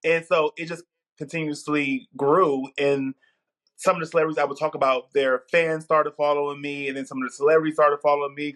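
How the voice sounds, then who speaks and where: A man from the Midwest, in his thirties, telling his story as an interview guest in an outspoken, animated way. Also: a little high. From Milwaukee, Wisconsin. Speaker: guest, TV producer. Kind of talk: casual interview talk.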